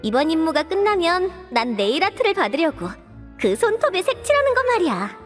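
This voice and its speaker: A pert young woman in a game, gossipy and blunt. Her high voice swings widely in pitch, heard in long reverb with music. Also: big pitch swings, brisk. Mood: chatty. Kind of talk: Korean video game voice acting.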